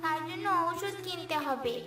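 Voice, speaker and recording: Woman voicing an earnest, high-pitched little girl. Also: animated, normal speed. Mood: pleading. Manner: pleading, innocent, polite. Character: earnest, anxious poor little village girl. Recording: roomy, distant, loud music bed.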